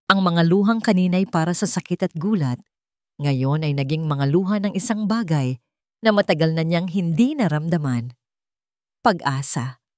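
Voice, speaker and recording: A woman narrating a scripted love story in a dramatic, measured storytelling manner. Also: fairly low pitch, a little mellow, no echo, clean studio sound.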